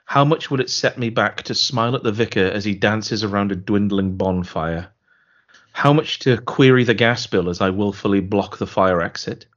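A man co-hosting a podcast, chatting casually and reading out a listener email with a dry, deadpan delivery. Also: lowish voice, moderate pace. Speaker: podcast co-host. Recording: dull lo-fi podcast audio.

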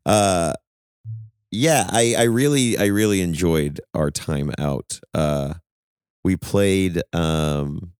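A man with a very deep voice chats on a podcast, animated and quick to curse or laugh at himself. The sound is dry and close. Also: clean tone, no echo. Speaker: podcast co-host and touring musician. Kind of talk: casual chat.

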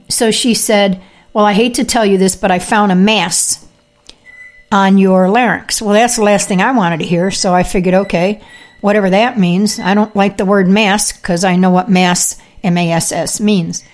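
A woman hosting her own podcast, talking casually with fillers and throat clearing, telling her own experience. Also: medium pitch. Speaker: podcast host; health practitioner with patients. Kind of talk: casual monologue.

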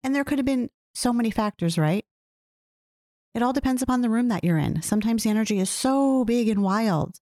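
A woman talking earnestly and reflectively about her own experiences, often putting questions to the listener. She describes her own voice as low. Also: expressive, fairly quick, warm tone, no room sound, studio quality. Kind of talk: reflective personal talk.

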